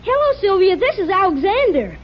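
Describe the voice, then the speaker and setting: Squeaky-high teenage boy, lively swooping delivery, cocky and eager, radio sitcom, noisy audience recording. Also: brisk. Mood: cocky, showing off. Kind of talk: 1950 radio situation comedy acting. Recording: dull noisy old radio with studio audience.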